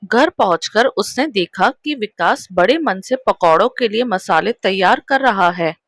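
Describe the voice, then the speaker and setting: A woman's voice: medium pitch, lively intonation, a little slow, dry recording, studio quality. A woman reading scripted narration for a moral storybook tale. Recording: rain, thunder and vehicle noise behind.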